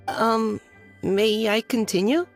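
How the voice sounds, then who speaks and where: An actor voicing a nervous, earnest young knight in a video game, reading aloud formally and haltingly. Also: male voice, high voice, creaky, full character voice.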